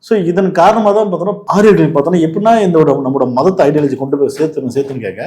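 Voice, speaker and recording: A man's voice: a little high, very fast, mellow. A man with a Tamil Nadu accent giving casual, opinionated political commentary as a host, explaining in an argumentative way.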